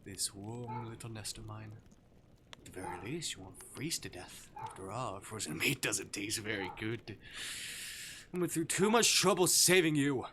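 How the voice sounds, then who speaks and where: A man's voice: fairly low pitch, lively intonation, normal speed, close to an everyday voice. A man voicing a playful, theatrical arctic demon from a visual novel, with mock-courteous, teasing menace. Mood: soft menace. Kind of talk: visual novel character voice acting. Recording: clear background noise.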